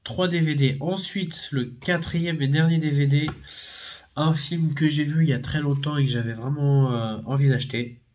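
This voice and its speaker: A man's voice: on the high side, fairly even intonation, moderate pace, a little mellow, muffled recording, slight background noise. Rambling man with a head cold. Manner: rambling, hesitant with many fillers and self-corrections. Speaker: DVD and Blu-ray collector. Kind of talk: casual unboxing video.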